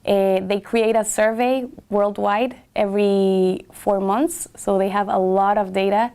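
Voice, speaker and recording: A young woman from Guatemala talks about her internship in an interview. Her voice is smooth and clear, and she pauses often with small fillers as she finds her words. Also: medium pitch, darkish tone. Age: young adult. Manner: hesitant, frequent fillers. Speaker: graduate student intern. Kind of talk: interview testimonial.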